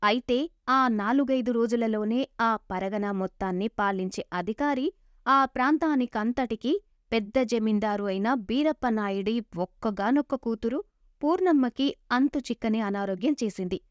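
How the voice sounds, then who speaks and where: A woman's voice: fairly high pitch, normal speed, clear, resonant tone, dry recording. A woman narrating a scripted moral story as a storyteller. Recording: dull lo-fi sound.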